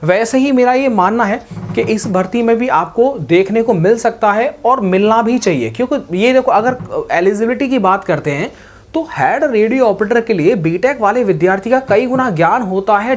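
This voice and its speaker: A man's voice: high-pitched, animated, moderate pace. A man hosting an explanatory talk in a didactic way, mixing in English words and often checking that listeners follow. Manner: mixes English words into speech. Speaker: host of a job exam news channel.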